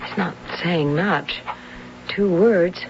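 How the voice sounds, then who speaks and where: An actress playing an anxious, soft-hearted wife in an old radio drama, hesitant and stammering with worry. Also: female voice, lowish voice, slow pace. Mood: worried, gentle. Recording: dull, noisy old radio recording.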